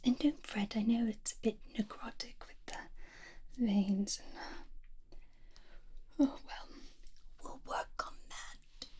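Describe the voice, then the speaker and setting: A doting, possessive mad scientist lady, as acted by a woman for a fantasy ASMR roleplay. She whispers softly and rather high, with a mellow tone. She coos and gently scolds as if fussing over a precious creation. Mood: cooing, gleeful. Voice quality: soft whisper. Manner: cooing, gently scolding, slow and breathy.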